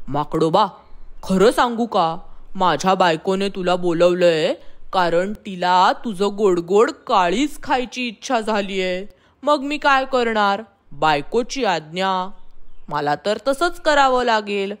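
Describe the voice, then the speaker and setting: A woman's voice: medium pitch, expressive, slow. A woman voicing a gullible, hesitant male crocodile in a children's story, earnest and simple in manner. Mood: apologetic. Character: gullible, hesitant male crocodile friend, tempted and soft-hearted.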